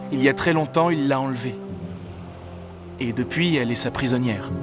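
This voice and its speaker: An actor playing an earnest, solemn young male hero in an animated fairy tale. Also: medium pitch, lightly acted. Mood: sorrowful. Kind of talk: animated cartoon dub. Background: background music.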